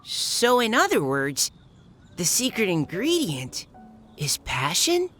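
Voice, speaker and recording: An actress playing an earnest, curious young woman in a video game, with a wondering, expressive delivery. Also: mid-range voice, takes it slowly, faint music behind, exaggerated voice.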